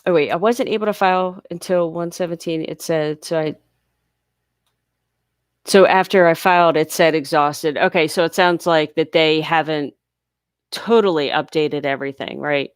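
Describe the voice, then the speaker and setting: A woman's voice: sits low, steady tone, moderate pace, smooth, dry recording, clean studio sound. A woman hosting a casual live Q&A, reading viewer questions aloud and explaining them patiently, her voice congested from blocked sinuses. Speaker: livestream host answering viewer questions. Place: home near a large US city.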